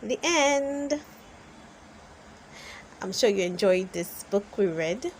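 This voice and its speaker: A woman reading a storybook aloud for children in an expressive way, as the host. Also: mid-range voice, slow, a little hiss or hum. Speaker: storybook reader and channel host.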